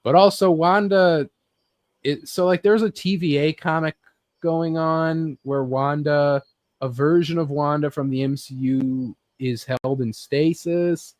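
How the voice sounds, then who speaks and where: A man's voice: on the high side, steady tone, unhurried, darkish tone, dry recording. A man hosting a casual, sweary chat about geek culture, rambling and often acting out little imagined bits. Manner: rambling, acts out imagined scenes with sound effects. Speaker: host leading a group chat about games and films.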